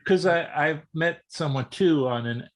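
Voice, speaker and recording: A man's voice: on the high side, unhurried. A middle-aged man hosting an interview, asking rambling, meandering questions full of restarts. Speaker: interview host. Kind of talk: casual interview.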